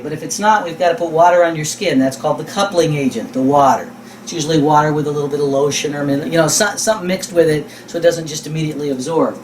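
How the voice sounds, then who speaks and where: Male lecturer, higher-pitched voice, folksy and emphatic, quizzing as he teaches. Roomy, distant-mic capture. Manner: folksy, informal, emphatic repetition of key words. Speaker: instructor. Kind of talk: conversational teaching lecture with rhetorical questions. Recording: roomy, distant mic, faint background noise.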